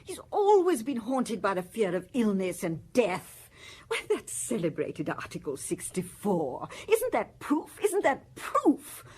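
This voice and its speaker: A haughty, accusing wife of high standing in a radio drama. Her voice is husky and swings very widely in pitch. She sounds imperious and easily affronted. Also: huge pitch swings, measured pace, a little mellow, exaggerated voice. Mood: indignant, insistent. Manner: imperious, indignant. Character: haughty, accusing upper-class wife under police questioning.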